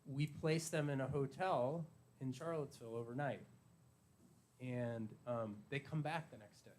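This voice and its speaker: Brief: man, halting and full of fillers, explaining policy, faint background noise. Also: mid-range voice, darkish tone, poor audio. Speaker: addiction treatment provider representative. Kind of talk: public meeting testimony.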